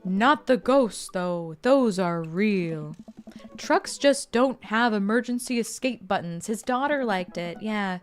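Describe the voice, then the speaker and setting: A woman's voice: mid-range voice, animated, slow pace, faint music behind. A young woman streaming a game, chatting casually and reading game text aloud in a bubbly way. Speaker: gaming streamer. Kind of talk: casual let's-play commentary.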